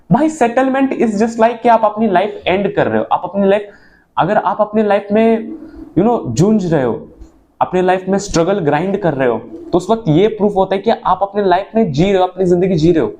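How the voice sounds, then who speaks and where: A young man speaking an earnest motivational monologue to his viewers, mixing in English phrases and swinging between emphatic and low moments. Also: a little mellow. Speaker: motivational vlogger.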